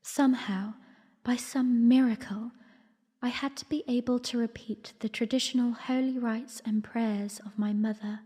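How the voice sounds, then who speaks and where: Woman acting a breathy young princess in long reverb. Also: fairly high pitch, dark tone. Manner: storytelling, formal. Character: young princess recounting memories, earnest, refined, burdened. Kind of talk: first-person dramatic narration. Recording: long reverberant hall echo.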